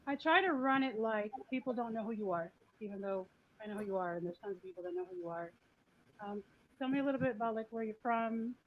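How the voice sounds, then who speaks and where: A woman's voice: on the high side, fast. A woman hosting a podcast interview, bubbly and teasing as she asks questions. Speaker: podcast host. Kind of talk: casual interview. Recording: dull lo-fi sound with faint noise.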